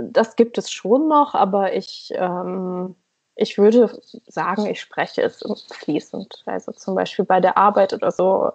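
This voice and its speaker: Creaky, husky-voiced woman on dull call. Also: medium pitch. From Germany. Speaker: podcast guest, emigrant blogger. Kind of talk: casual conversational interview. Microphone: internet call.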